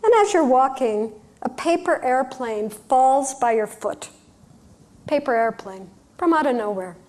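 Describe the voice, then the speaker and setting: Female guided-visualization leader, very slow delivery, slightly high pitch, gentle and soothing, short phrases with pauses. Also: animated. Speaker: workshop leader guiding a visualization. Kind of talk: guided meditation, unhurried storytelling instruction.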